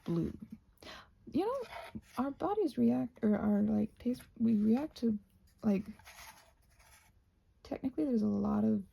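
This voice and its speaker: A woman with a soft, breathy voice, often close to a whisper, musing aloud in a playful, whimsical ASMR ramble as she crafts. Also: on the high side, expressive, takes it slowly, long pauses.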